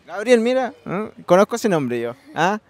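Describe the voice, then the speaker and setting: A man's voice: high voice, big pitch swings, normal speed. A Chilean man in his twenties, a field reporter interviewing informally and chattily into a handheld microphone outdoors. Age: 20s. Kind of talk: casual on-location interviewing. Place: outdoors beside a swimming pool.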